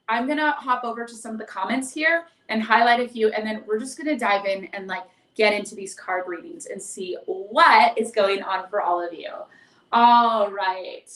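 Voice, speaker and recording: A woman with a hoarse voice chatting casually and affectionately as she hosts a livestream as a tarot reader, reading out viewer comments. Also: on the high side, a bit bright. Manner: effusive, affectionate greetings and endearments. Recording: echoey, roomy live stream.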